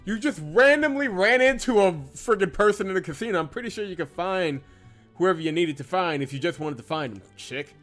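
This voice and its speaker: A man's voice: high voice, lively intonation, a little fast, fairly bright tone. Sarcastic guy over background music. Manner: sarcastic, exasperated. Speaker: let's play host. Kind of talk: reading a visual novel aloud with sarcastic commentary.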